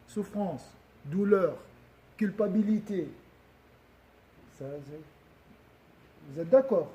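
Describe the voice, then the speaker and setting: Male religious teacher, high-pitched but mellow in tone, talking to a room, checks in with listeners, loops back on his words. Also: poor audio. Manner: questions the audience often, repeats and restarts phrases. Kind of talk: religious lesson to a gathering.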